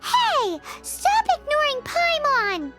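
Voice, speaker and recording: A woman voicing a small, childlike fairy companion in a video game, excitable and cheeky, with a squeaky voice. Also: slow, full character voice. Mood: indignant. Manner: refers to self in third person, exclaiming. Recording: music behind the dialogue.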